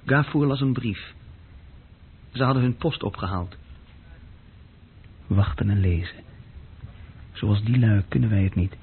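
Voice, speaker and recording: A man's voice: on the low side, measured pace, pauses often, slight background noise. An actor playing a restless, self-reproaching young office clerk who tells his story hesitantly and conversationally in a radio play. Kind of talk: radio play dialogue and recollection. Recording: thin, phone-like old radio recording.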